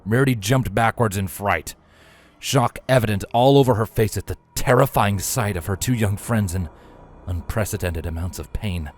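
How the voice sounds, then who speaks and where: A man's voice: fairly low pitch, normal speed. A man reading a scripted story as a dramatic narrator. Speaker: fan fiction narrator.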